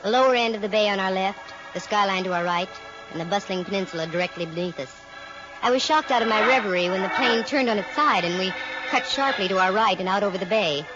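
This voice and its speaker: An American actress playing a sharp-witted, wisecracking woman private detective in old radio drama style, wry and breezy. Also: mid-range voice, fast talker, close to an everyday voice. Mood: wry narrating. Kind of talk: 1940s-50s radio detective acting, first-person narration. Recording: muffled vintage radio recording with music.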